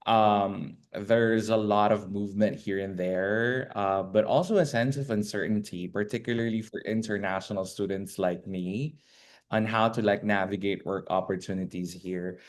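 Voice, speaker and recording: A man answering a panel host's question as a graduate student, measured and thoughtful, with frequent fillers, over a video call. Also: on the low side, steady tone.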